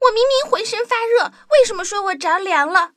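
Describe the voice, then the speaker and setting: A woman playing an eager, stubborn little princess in a children's audio story, with an excitable, childlike way of talking. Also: very high voice, brisk, dry recording. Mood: whining, defiant. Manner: excitable, whining, childlike exclamations.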